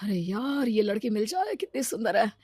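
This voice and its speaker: A woman voicing an arrogant, fickle husband, boastful and wheedling, in an animated story. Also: mid-range voice, moderate pace, husky, no room sound, clean studio sound. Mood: smitten. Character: arrogant, fickle, money-proud husband, easily smitten.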